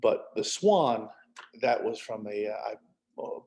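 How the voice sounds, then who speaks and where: A man's voice: on the low side, lively intonation, unhurried, gravelly, darkish tone. A middle-aged man who is an author, chatting casually and telling stories with acted-out asides. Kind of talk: casual chat.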